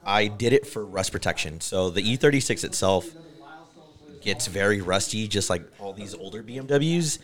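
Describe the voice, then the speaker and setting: A man with a somewhat low voice talks as a guest on a casual podcast. He explains things in a plain, easygoing way and laughs at himself. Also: animated, moderate pace, a bit bright. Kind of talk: casual chat.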